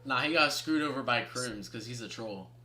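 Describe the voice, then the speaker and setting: A man's voice: medium pitch, normal speed, over a noisy crowd. A young man co-commentating a race casually, teasing and slangy. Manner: teasing, slangy, says bro.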